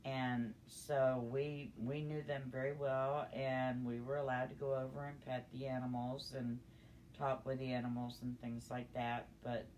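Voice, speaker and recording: A woman's voice: very deep voice, flat delivery, measured pace, a little mellow, rough recording. An older woman shares a tale in a casual, folksy way. Her speech is plain and unpolished, with some noise around it on a homemade-sounding recording. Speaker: storytelling guest. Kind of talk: casual storytelling.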